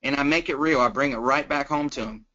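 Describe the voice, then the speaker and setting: A plain-spoken, folksy man coaching casually on sales as a guest trainer, acting out sample pitches as he goes. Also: fast talker, bright, forceful tone. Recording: dull, lo-fi conference call line.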